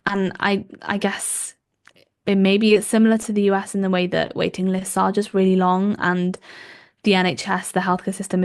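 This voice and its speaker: A young woman in her twenties from Wales with a Welsh accent, her first language being Welsh, chatting as a podcast guest with lots of ums and likes. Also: medium pitch. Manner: chatty, warm laughs, frequent ums and likes. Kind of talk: casual interview chat.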